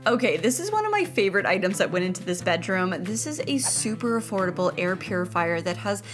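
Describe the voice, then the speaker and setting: A woman's voice: mid-range voice, big pitch swings, fairly quick, music in the background. A woman with a playful, chatty manner, narrating a home makeover video in an upbeat scripted style with casual asides. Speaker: host of a home makeover vlog.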